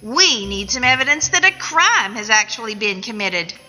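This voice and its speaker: A woman's voice: on the high side, sing-song, normal speed, bright, forceful tone, heavily acted. An actress playing a folksy, skeptical young rookie policewoman with a Southern US accent in a comic radio play. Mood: dismissive. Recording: echoey event hall, music behind.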